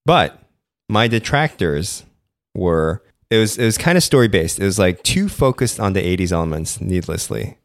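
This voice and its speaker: A man's voice: deep voice, lively intonation, normal speed, dry recording, clean studio sound. A young man co-hosting a podcast, talking casually with many fillers and playful mock quotes. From Philippines. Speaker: podcast co-host. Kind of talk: casual chat.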